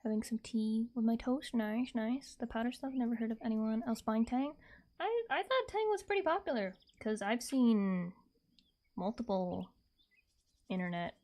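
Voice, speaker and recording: Mellow, slightly high-voiced woman streamer. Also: moderate pace. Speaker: livestream host chatting with viewers. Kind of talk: casual livestream chat.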